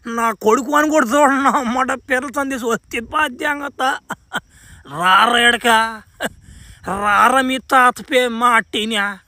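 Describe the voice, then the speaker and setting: A menacing, villainous cartoon father, voiced by a man, high-pitched and very sharp, recorded dry. His speech is arrogant, colloquial and taunting, in the manner of a homemade dub. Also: measured pace, dry recording, full character voice.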